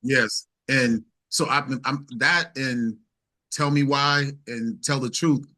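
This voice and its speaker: A man's voice: mid-range voice, fairly even intonation, pushed and bright. A man from New York speaking casually as an interview guest, thinking aloud with repeated words. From New York, United States. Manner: halting, thinking aloud with repetitions. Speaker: singer-songwriter interview guest.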